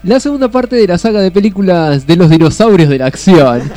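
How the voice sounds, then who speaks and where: Laughing Argentine podcast host, higher voice. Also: male voice, brisk, dark tone, faint music behind. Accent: Rioplatense Spanish. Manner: laughs often, filler-heavy. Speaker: podcast co-host presenting a film segment. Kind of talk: casual banter and film review.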